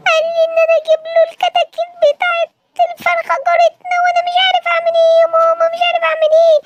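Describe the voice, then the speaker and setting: A woman with an Egyptian accent voicing a frightened little male rabbit in a children's story, squeaky and stammering. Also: monotone, very fast, lo-fi sound, background noise. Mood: panicked. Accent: Egyptian Arabic.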